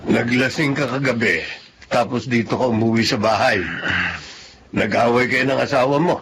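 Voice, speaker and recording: A man's voice: mid-range voice, moderate pace, music in the background, close to an everyday voice. Man acting a contented fatherly older husband. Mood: fatherly, matter-of-fact. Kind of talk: Filipino radio drama acting.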